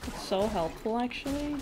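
A young woman streaming a game, chatting casually with her viewers in a nasal voice with vocal fry, giggling often and breaking into excited shrieks. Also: a little high. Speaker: VTuber streamer. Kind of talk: casual gaming stream chat, reading chat aloud.

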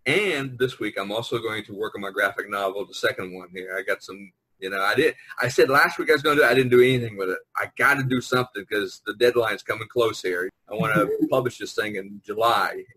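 Rambling middle-aged man with a husky voice. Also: fairly low pitch, sharp tone, rough recording. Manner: rambling, halting, repeats himself. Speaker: podcast host. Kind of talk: casual group chat. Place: online video conference call.

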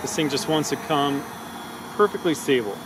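A man with a slightly higher voice talks casually while flying a drone in an open park, upbeat and thinking aloud. The camera mic picks up clear traffic and wind noise around him. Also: animated, moderate pace. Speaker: drone reviewer. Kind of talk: casual outdoor review commentary. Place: outdoors in a park field.

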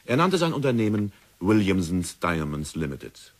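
A male narrator tells the story in a measured, sober way. His voice is very deep and husky, like a vintage radio documentary. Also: big pitch swings. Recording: old radio play recording.

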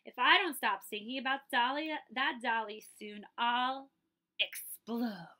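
Young boy rabbit for a storybook, read by a woman: bright, cross, sensitive, deliberate. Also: on the high side. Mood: fuming.

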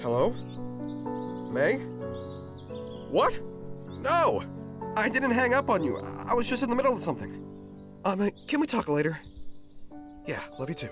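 A man voicing an earnest, awkward young college student in a comic dub, with an expressive manner. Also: high voice, moderate pace, pauses often. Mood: flustered. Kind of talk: comic dub character acting. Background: music.

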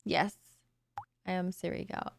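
A young woman streaming from her room late at night, tired and a little raspy, chatting casually and thanking viewers on a close studio mic. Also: lowish voice, flat delivery, a little slow. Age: young adult. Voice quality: slightly raspy today. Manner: chatty, bubbly, laughs easily. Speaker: live streamer. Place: home streaming room, indoors. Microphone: boom studio mic close to mouth.

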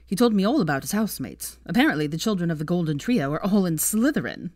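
A woman's voice: lowish voice, animated, fast, darkish tone, no echo, clean studio sound. Chatty father, read by a woman. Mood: amused. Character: chatty adult male friend, gossipy father.

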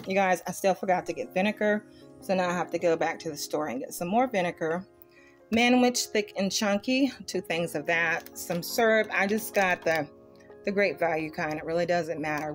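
A woman speaks in a fairly low voice, chatting informally to her viewers in a home kitchen. She itemizes things as she shows them, in colloquial everyday grammar. Faint music plays behind her. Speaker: vlogger showing a grocery haul. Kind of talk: casual chat to viewers, product show-and-tell.

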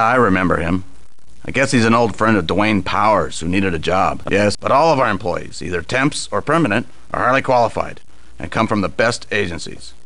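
Low-pitched, brusque studio boss. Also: male voice, fairly bright tone, rough recording. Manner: brusque, businesslike, dismissive. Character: gruff, harried, impatient male TV studio boss. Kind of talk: video game dialogue.

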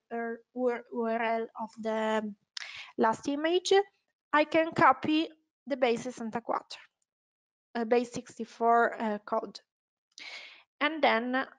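High-voiced Italian woman giving a software tutorial. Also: poor audio. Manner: hesitant, unscripted explaining with self-corrections. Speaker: webinar presenter, software trainer.

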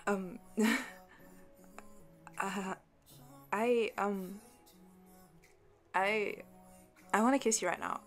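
A woman's voice: mid-range voice, fairly even intonation, a bit bright, soft background music. Woman acting a flustered, giggly artsy college girl. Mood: flustered, tender. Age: young adult. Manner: halting, giggly, stammering. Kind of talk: intimate audio roleplay acting.

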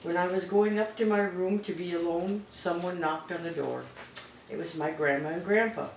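A woman's voice: on the low side, steady tone, moderate pace. An older woman, a grandmother, reading a children's picture book aloud at home. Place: small living room at home, on a couch. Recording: roomy, distant mic, background noise.

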